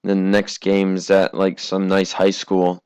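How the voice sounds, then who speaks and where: A teenage American man from Massachusetts giving casual, conversational amateur racing commentary, his voice slightly hoarse from a cough. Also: deep voice, monotone, unhurried, darkish tone, dry recording. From Massachusetts, United States. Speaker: race commentator.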